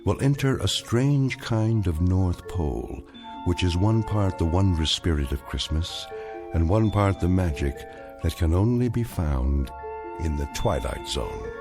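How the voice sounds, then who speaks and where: A man narrating a radio drama as a measured, wry and ominous storyteller, deliberate in his delivery. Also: low voice, animated, over music. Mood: wry, portentous.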